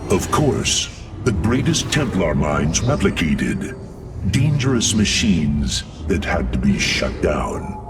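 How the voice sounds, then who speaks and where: A man playing a proud, ancient alien warrior revived as a machine in a video game, speaking in a grand, formal, archaic way with a gravelly voice. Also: sits low, big pitch swings, takes it slowly, far from the mic, music in the background, exaggerated voice. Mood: grave, resolute. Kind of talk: dramatic video game voice acting.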